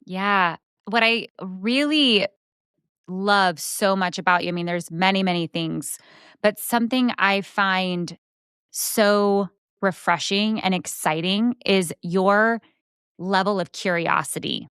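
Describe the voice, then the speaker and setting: A woman's voice: medium pitch, slow pace, fairly bright tone, clear, resonant tone, no room sound, studio quality. A woman podcast host and coach interviewing a guest, encouraging and affirming. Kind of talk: conversational interview.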